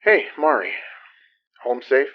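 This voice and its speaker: A man's voice: medium pitch, very animated, slow pace, muffled recording. An actor playing Donner, a wary, worn male investigator who hesitates and trails off in an audio drama. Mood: hesitant.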